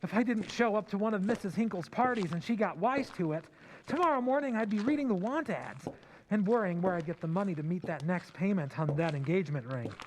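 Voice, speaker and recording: A man's voice: sits high, expressive, a little fast, low-quality recording. An actor playing a cynical, slangy young man griping to his fiancée in an old-time radio drama, over some noise. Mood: sardonic griping. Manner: slangy, sardonic, exaggerating.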